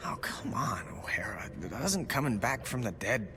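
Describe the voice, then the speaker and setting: A man's voice: mid-range voice, animated, normal speed, close to an everyday voice. An actor playing a sarcastic young male superhero in a video game, quippy and breezy with a weary streak. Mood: grudging, game. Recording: loud music behind the voice.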